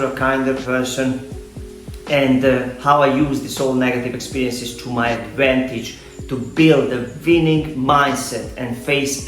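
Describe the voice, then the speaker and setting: A middle-aged man with a Serbian accent speaks straight to his viewers in an earnest, deliberate way, often pausing to find words. His pitch sits in an ordinary male middle range. The room adds a clear echo, and faint music plays behind him. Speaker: online fitness coach and video host. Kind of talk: personal vlog talk to camera.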